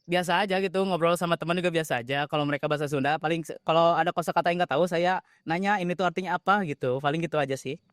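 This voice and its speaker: Relaxed young man, smooth voice. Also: a little high, rapid-fire, dry recording, clean studio sound. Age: young adult. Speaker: student interviewee. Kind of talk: casual group interview.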